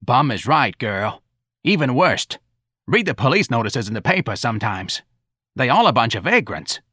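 A man's voice: mid-range voice, big pitch swings, normal speed, pushed and bright, no echo, studio quality, pitched above the performer's own voice, full character voice. A man voicing a brash, blunt old grandmother in an audiobook. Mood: scornful. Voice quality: froggy, croaking. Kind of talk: audiobook character dialogue.

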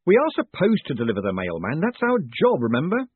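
A man voicing a quick-witted, exasperated male postmaster in an audiobook story. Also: on the high side, wildly swooping pitch, slightly rough, dry recording, narrow, tinny audio, voice pushed up, heavily acted. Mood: brisk, sardonic.

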